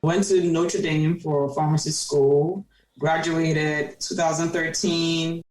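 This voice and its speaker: A woman's voice: low-pitched, flat delivery, slow, a little mellow, far from the mic, soft background music. A woman in her thirties, a podcast guest telling her story in an earnest, reflective way. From Cameroon. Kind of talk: casual conversation. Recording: roomy, off-mic sound.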